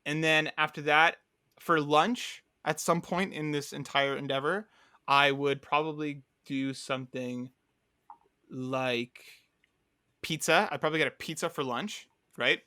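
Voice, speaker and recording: Young male podcast co-host, upbeat rambler, bright tone, dry close sound. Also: fairly high pitch, steady tone, unhurried, no echo. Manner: rambling, upbeat storytelling with many fillers. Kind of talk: casual podcast chat.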